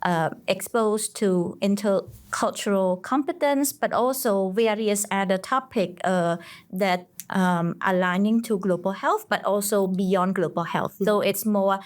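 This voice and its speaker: A young woman from Cambodia, a master's student guest on a podcast, answering slowly and hesitantly with many pauses. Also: medium pitch. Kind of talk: interview answers.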